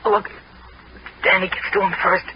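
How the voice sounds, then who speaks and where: A man's voice: high voice, level tone, lightly acted. An actor playing a dying convict in an old radio drama, desperate and short of breath, stammering out his pleas. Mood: urgent pleading. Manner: halting, gasping, stammering. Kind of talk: 1960s radio drama acting. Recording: muffled, noisy old radio recording.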